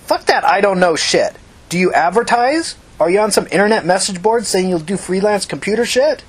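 A man's voice: sits high, expressive, fairly quick, slightly rough, voice pushed up. A man reading the part of a tough, angry, foul-mouthed woman who questions people bluntly like an amateur detective in an audiobook. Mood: insistent. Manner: blunt, pressing, confrontational.

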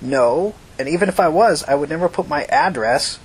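A man's voice: a little high, lively intonation, normal speed, slightly rough, poor audio. A man reading the part of an evasive, nervous, bookish male computer whiz who answers defensively in an audiobook. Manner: guarded, hesitant, defensive.

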